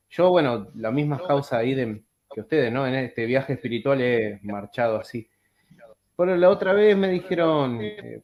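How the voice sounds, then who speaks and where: A man's voice: medium pitch, a little mellow. Man w hosting a video call chat, rambly, lots of ehs and restarts, normal mid voice. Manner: rambling, halting, many fillers and restarts. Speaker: podcast host. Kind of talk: casual chat.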